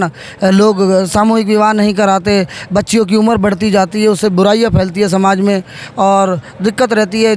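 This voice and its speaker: High-pitched man in his thirties. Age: 30s. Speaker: politician, interviewee. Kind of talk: interview answers.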